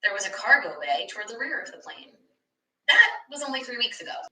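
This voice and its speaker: A woman reading a children's book aloud like a teacher, expressive and animated, with asides to young listeners. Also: a little high, fairly quick. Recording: echoey room, distant microphone.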